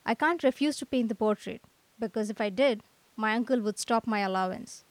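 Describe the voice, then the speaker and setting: A woman's voice: a little high, a little fast, no room sound, natural voice. A woman playing a gloomy, aggrieved young male artist in a radio drama, with theatrical lamenting. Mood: bitter self-pity.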